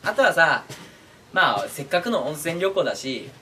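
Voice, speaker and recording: Animated young man, distant noisy recording. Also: fairly high pitch, moderate pace. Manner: chuckles between phrases, informal. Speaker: voice-acting content creator. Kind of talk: casual chat to viewers. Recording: distant mic with clear background noise.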